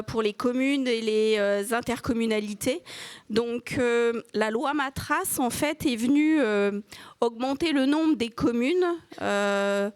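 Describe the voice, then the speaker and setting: Higher-voiced woman moderating, dry sound. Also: dry recording. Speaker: moderator opening a panel session. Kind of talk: semi-formal conference presentation.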